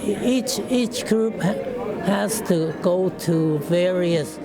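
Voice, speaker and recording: A man in his nineties, a war veteran, recalling the past haltingly, with many restarts and chuckles. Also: high voice, over loud music, a loud crowd. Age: 90s. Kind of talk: casual reminiscing.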